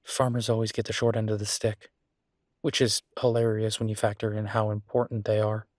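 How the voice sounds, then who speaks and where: A man's voice: on the low side, little pitch movement, a little fast, no room sound, lightly acted. Man acting easygoing boyfriend, close dry sound. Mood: musing, persuasive. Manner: casual, chatty, thinking aloud. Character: easygoing, affectionate male restaurant owner in the mountains. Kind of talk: boyfriend roleplay audio drama, one-sided conversation.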